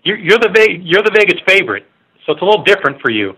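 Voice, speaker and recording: Male sports reporter on a conference call: bright, slightly high voice, informal, stumbling questions. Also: very animated, husky, low-quality recording. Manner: halting, rambling, informal questions. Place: remote on a media conference call.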